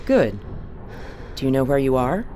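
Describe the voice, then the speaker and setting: Low-voiced, authoritative starship captain. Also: female voice, big pitch swings, mellow, a little hiss or hum, heavily acted. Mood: calm, probing. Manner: measured, businesslike. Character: composed, authoritative woman captain of a colony spaceship. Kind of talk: naturalistic audio drama acting.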